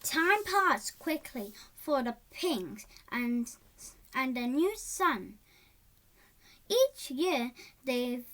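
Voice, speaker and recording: A child reading a storybook aloud in a halting way, stumbling over words and repeating them. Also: very high-pitched, a bit bright.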